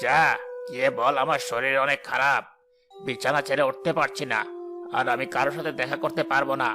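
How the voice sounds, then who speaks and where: A man's voice: mid-range voice, fairly even intonation, a little fast, close to an everyday voice. A man voicing a callous, greedy village headman in a cartoon, dismissive, scolding and self-important. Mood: irritated, scolding. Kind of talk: cartoon voice acting. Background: faint music.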